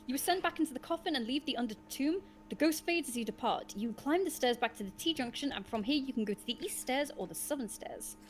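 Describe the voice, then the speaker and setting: High-voiced woman reading a gamebook aloud. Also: expressive, brisk, slight background noise. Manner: dramatic storytelling, casual swearing asides. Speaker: game reader and host.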